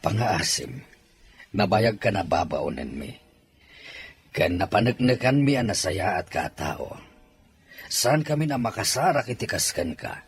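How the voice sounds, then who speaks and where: A man's voice: lowish voice, very animated, a little slow, pauses often, slightly rough, full character voice. A man playing a weary, forbearing household master with a Filipino accent, earnest and pleading in a melodrama. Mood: resigned. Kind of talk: melodramatic audio drama acting. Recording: music behind the voice.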